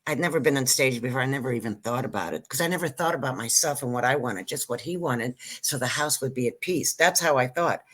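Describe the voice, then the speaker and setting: An older woman from Israel, a Hebrew native speaker, speaks as a guest comic on a casual show. Her voice sits low but comes across bright and crisp. She rambles, cuts herself off, and jokes her way through the story. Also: fast, few pauses. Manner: rambling, self-interrupting, joking storyteller. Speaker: guest comedian. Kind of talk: casual interview chat.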